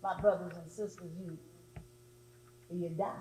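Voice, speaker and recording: A woman's voice: on the low side, steady tone, unhurried, dark tone. A woman delivering an oratorical sermon as a preacher, with emphatic rhetorical repetition. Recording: echoey hall.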